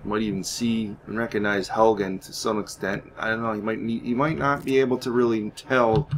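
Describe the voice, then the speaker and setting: A man's voice: on the low side, fairly even intonation, normal speed, slight background noise. A man giving casual, rambling game commentary, thinking aloud hesitantly as he plays. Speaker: gaming YouTuber narrating his playthrough.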